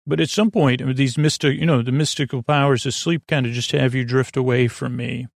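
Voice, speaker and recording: A man hosting a sleep podcast, talking in a creaky, slow, meandering bedtime monologue full of tangents and restarts. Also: mid-range voice, steady tone, no room sound, studio quality.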